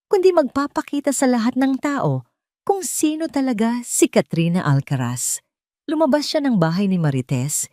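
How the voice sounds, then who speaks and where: A woman's voice: mid-range voice, warm tone, dry recording, studio quality. A woman narrating a scripted dramatic love story as an audio drama.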